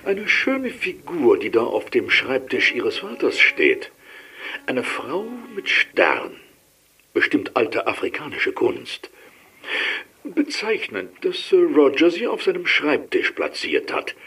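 An inquisitive, politely probing gentleman in an audio drama. His voice is very rough and bright, swinging widely as he makes eloquent, pointed remarks. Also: mid-range voice, wildly swooping pitch, takes it slowly, exaggerated voice. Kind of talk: German audio drama acting.

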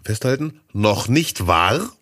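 A man with a slightly hoarse, scratchy voice from a cold, co-hosting a casual podcast and telling rambling stories full of fillers. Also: lowish voice, very animated, slow, fairly bright tone, no room sound, clean studio sound. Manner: chatty, storytelling, rambling with fillers. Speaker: podcast co-host.

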